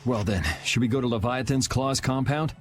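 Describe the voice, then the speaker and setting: An actor playing a self-assured young adventurer in a video game, with a dry, offhand, decisive delivery. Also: male voice, mid-range voice, moderate pace, natural voice. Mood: resolute. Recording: music under the voice.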